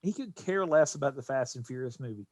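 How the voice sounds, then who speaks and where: A man's voice: mid-range voice, animated, fast, a little mellow, no echo, clean studio sound. A middle-aged man chatting casually as a podcast co-host.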